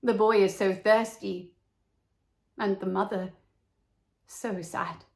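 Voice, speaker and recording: A woman voicing a sad, gentle prince in a storybook, with soft, tender, pleading speech. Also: medium pitch, fairly even intonation, slow, vocal fry.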